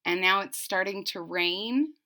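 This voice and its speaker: Slow, bright-voiced woman reading a storybook. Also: mid-range voice. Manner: deliberate, explains to young listeners. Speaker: storybook reader. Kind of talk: expressive children's read-aloud with simple asides.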